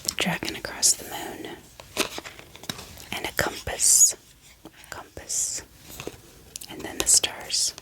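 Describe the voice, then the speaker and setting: A woman speaking in soft, gentle ASMR style, showing items and softly repeating words. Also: takes it slowly, pauses often, low-quality recording. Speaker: ASMR book haul host. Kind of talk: soft-spoken whispered ASMR show-and-tell.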